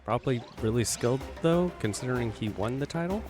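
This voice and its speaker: Male game streamer, middle pitch, lively intonation, casual rambling commentary over clear game music. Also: a little fast. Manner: rambling, self-interrupting, musing aloud. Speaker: live streamer. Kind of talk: casual chat while playing a game. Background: video game music.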